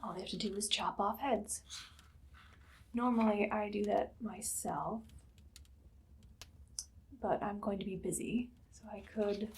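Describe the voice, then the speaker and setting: Woman playing a hushed, haughty evil queen. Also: medium pitch, a little hiss or hum, close to an everyday voice. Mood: menacing, coolly commanding. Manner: hushed, imperious, often slipping into whispers. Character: haughty evil queen, cruel, darkly amused conqueror. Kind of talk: ASMR roleplay monologue, personal attention.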